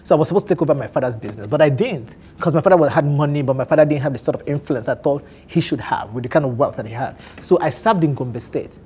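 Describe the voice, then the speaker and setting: A man in his thirties from Nigeria with an Igbo accent, telling his life story candidly on a talk show, animated and often laughing. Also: fairly high pitch, fast talker, few pauses, a little hiss or hum. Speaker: guest on a men's talk show.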